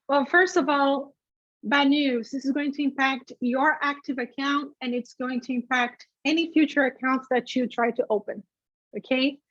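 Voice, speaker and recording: Female webinar presenter, native Portuguese speaker. High voice, informal and emphatic teaching style, lo-fi sound. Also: no echo. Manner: conversational, emphatic, checks in with "okay?" and "right?". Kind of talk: informal instructional webinar talk. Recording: dull, lo-fi webinar audio.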